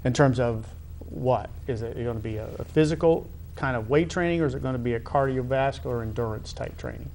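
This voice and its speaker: A middle-aged American man conducting a conversational sports interview, halting and wordy, often repeating phrases. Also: mid-range voice, vocal fry, darkish tone, a little hiss or hum. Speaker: interviewer, high school athletic director.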